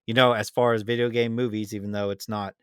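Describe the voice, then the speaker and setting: Lowish, close-sounding man in casual chat. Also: level tone, fast, fairly bright tone, clear, resonant tone, dry recording. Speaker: podcast co-host.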